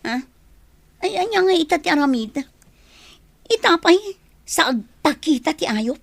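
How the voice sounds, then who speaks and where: A woman's voice: sits high, very animated, measured pace, creaky, no room sound, heavily acted. A woman with an Ilocano Filipino accent playing a guarded, defensive young mother in a radio drama. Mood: worried.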